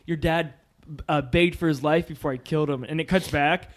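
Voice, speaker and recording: A man's voice: on the high side, steady tone, moderate pace. A man co-hosting a film podcast, casually recapping a movie in loose conversation.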